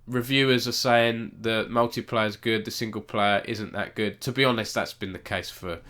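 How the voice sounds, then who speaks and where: A man's voice: on the low side, fairly even intonation, moderate pace, a bit bright. Nasal man with a cold. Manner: rambling, self-correcting, chats with viewers. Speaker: esports news host. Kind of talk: casual live-stream news roundup.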